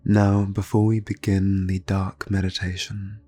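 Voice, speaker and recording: A man leading an ASMR guided meditation in a soft, breathy near-whisper, phrasing slowly and soothingly with long pauses. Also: low voice, level tone. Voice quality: breathy, hushed.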